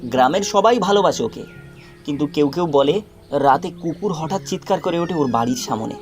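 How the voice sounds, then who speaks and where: A man narrating a scripted dramatic story in short, suspenseful phrases with pauses. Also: on the high side, animated, soft background music.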